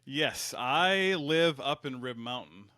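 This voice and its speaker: A man's voice: medium pitch, animated, slow pace, sharp tone, no echo, studio quality. A man from Michigan's Upper Peninsula, a fire service educator, talking in a folksy, rambling, self-deprecating way. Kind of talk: casual interview.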